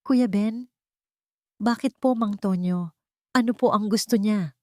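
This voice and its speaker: A woman's voice: mid-range voice, measured pace, a little mellow, no room sound, clean studio sound, pitched above the performer's own voice, close to an everyday voice. A woman voicing an anxious, devoted mother in a dramatic story, polite and earnest. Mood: uneasy, puzzled.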